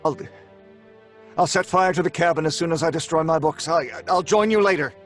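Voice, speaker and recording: A man plays a tormented, guilt-ridden scientist in old time radio horror. The voice is a little high, earnest and emphatic, with faint music behind. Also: brisk, lightly acted. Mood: agitated. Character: tormented, guilt-ridden scientist telling his tragic story.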